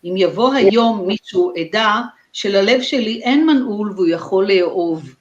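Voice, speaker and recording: Woman poet reading verses slow n careful, dull echoey call audio. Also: mid-range voice, animated. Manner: slow, deliberate reading with long pauses. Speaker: poet reciting at an online festival. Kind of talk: slow poetry recitation. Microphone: video call.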